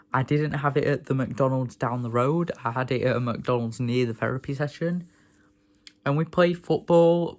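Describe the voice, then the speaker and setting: A young man from England, hoarse from a sore throat, rambling and grumbling in a casual vlog rant. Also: mid-range voice. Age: young adult. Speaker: vlogger.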